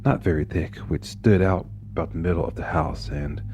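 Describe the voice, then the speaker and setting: Low male narrator for a gothic horror reading; intense, whisper-to-outburst delivery over faint music. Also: moderate pace, muffled recording. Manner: intense, swings from hushed whispers to rising anguished outbursts. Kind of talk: dramatic horror story reading.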